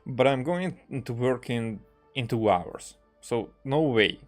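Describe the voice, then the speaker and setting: A man with a mid-pitched voice streams a game and rambles casually. He speaks haltingly, repeats words and trails off, with faint game music behind him. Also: lively intonation. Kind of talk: casual rambling gameplay commentary.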